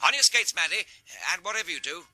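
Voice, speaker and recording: An actor with a British accent playing a breezy gentleman adventurer in a tongue-in-cheek period detective drama. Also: sits high, lively intonation, fast, soft background music, exaggerated voice. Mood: breezy urgency. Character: breezy fast-talking gentleman adventurer, cheerful under trouble.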